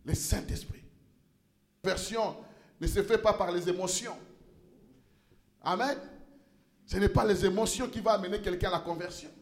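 High-pitched guy preaching in church, gets shouty, stumbles on words, lots of room echo. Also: vocal fry. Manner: impassioned preaching, rises to shouts and repeats words. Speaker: pastor. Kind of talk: sermon.

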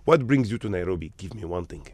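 A man's voice: deep voice, expressive, fast talker, dry recording. A man from Morocco who manages hotels, talking as an interview guest with emphatic rhetorical questions.

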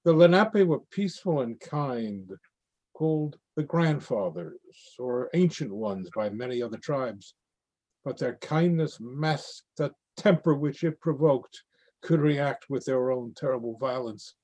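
A man giving a measured, solemn dramatic reading of a monologue over a video call. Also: medium pitch, expressive, darkish tone, husky. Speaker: reader in a readers theatre.

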